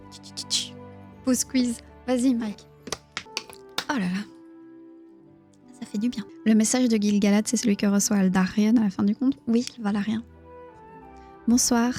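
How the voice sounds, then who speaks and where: A woman with a Belgian accent reading a book aloud on a live stream in a hushed, near-whispering voice, stopping to chat with her viewers. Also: medium pitch. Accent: Belgian French. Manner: reads narration in a hushed, near-whispered voice, chats plainly with viewers.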